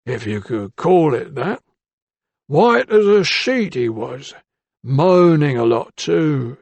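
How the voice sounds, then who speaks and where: A man's voice: on the high side, big pitch swings, takes it slowly, vocal fry, darkish tone, no room sound, voice pushed up, exaggerated voice. A man doing a thin, bleating shopkeeper. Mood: wheedling. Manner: wheedling and deferential, keeps saying sir. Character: timid, grasping male shopkeeper, working class.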